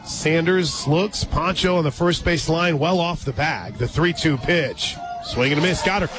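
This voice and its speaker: A man doing live radio play-by-play of a softball game, mixing calls of the action with casual, conversational chat. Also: on the high side, unhurried. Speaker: radio play-by-play sports announcer. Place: outdoor ballpark radio booth. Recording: loud crowd and music behind broadcast. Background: loud stadium crowd and music.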